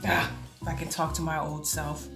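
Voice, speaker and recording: Woman, late 40s, vlogger; lowish voice, chatty with frequent laughs; echoey room, guitar music underneath. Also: little pitch movement, creaky. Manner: chatty, laughs often, drawn-out exclamations. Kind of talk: casual talk to camera.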